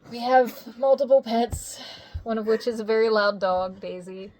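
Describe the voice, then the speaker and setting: A woman's voice: a little high, normal speed, darkish tone, rough recording. A woman, a voice actor with years of radio work behind her, chatting easily in an interview. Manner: chatty, easygoing storyteller. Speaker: voice actor guest. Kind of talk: casual interview chat.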